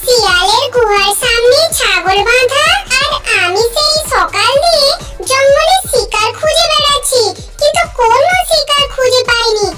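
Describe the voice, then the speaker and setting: Greedy croc bully someone putting it on, super high cartoon voice, taunting, music loud behind. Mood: envious, scheming. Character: greedy, scheming crocodile who bullies smaller animals. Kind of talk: children's cartoon story dialogue.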